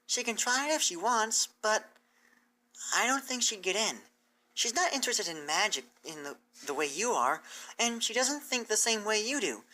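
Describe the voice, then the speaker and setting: A performer voicing a gentle, earnest female unicorn mentor pony in a cartoon fan fiction reading, encouraging and measured. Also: mid-range voice, big pitch swings, voice pushed up.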